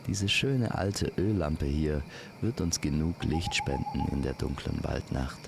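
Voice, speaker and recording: A man telling a scripted fantasy story as an immersive campfire narrator, speaking gently and poetically to the listener. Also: a bass voice, creaky, noisy background. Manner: slow, gentle, poetic delivery. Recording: constant night insect and nature ambience. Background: crickets and forest night sounds.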